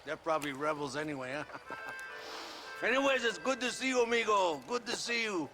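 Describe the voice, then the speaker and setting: An actor playing a boisterous, lecherous, cruel Mexican army officer in a video game, oily and mock-friendly, with a Mexican accent. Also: male voice, high-pitched, animated, brisk, over music. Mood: gleeful, leering. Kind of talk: video game cutscene acting.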